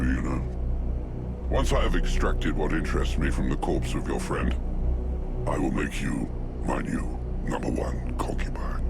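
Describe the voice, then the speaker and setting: An actor playing a suave, menacing demon lord in a video game, theatrical and taunting with mock courtesy. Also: male voice, very deep voice, vocal fry, heavily acted. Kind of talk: dramatic video game villain acting. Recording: loud music behind.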